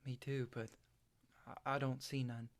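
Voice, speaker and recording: Anxious young country boy, played by a man, hesitant and hushed, distant from the mic, stage drama. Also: mid-range voice, little pitch movement, natural voice. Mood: shy, puzzled. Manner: halting, quiet, stammering. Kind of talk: staged script reading.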